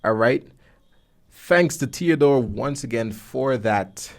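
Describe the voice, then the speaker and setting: A man's voice: mid-range voice, animated, slow pace. A young man with a Caribbean accent hosting a podcast casually, with jokey slang, close on a studio mic. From Guyana. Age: young adult. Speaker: podcast host. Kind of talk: casual podcast presenting and interviewing. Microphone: boom-arm studio mic, close.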